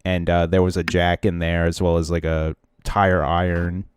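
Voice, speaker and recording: A man's voice: sits low, little pitch movement, normal speed, clear, resonant tone, no room sound. A man with a stuffy, congested voice, co-hosting a casual podcast and telling a long, rambling story full of restarts. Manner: rambling storyteller who often restarts and trails off. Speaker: podcast co-host.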